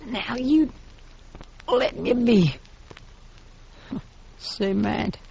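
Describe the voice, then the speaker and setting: Casting: a defiant, rifle-toting frontier woman, slow-spoken, vintage radio western, muffled lo-fi sound. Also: fairly high pitch, lively intonation, gravelly, dark tone, exaggerated voice. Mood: weak, dying. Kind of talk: 1950s radio western acting. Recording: dull, noisy 1950s radio recording, some echo.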